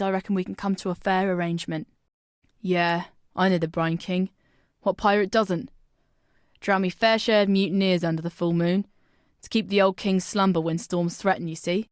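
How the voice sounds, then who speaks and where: A woman's voice: medium pitch, no room sound, clean studio sound, close to an everyday voice. An actress playing a brash, bawdy young female pirate in a video game, talking in swaggering, salty old-time sailor slang. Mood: inviting, then wary. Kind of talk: video game character dialogue.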